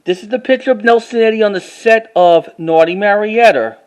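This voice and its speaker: A man with a halting, word-repeating way of talking, doing a casual show-and-tell like an enthusiastic collector. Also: high voice, clear, resonant tone. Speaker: vlogger.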